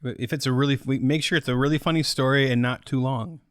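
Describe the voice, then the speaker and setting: A man's voice: medium pitch, steady tone, fast, clean tone, no room sound, studio quality. A man co-hosting a podcast with casual, joking banter. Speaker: podcast co-host.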